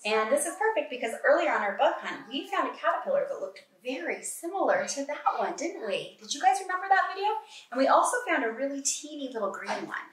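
A woman's voice: sits high, quick pace, far from the mic. A middle-aged woman teaching preschoolers, reading a picture book aloud in an encouraging, exclaiming way with questions for young listeners. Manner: animated, encouraging, exclaiming and gasping, addresses small children. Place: indoor kitchen at home, at a table. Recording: echoey room, away from the mic.

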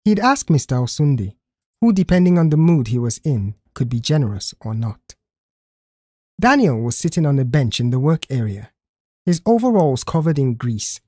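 A man reading scripted story narration in an expressive, careful storytelling manner. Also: mid-range voice, normal speed, slightly rough, no room sound, clean studio sound. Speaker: audiobook narrator.

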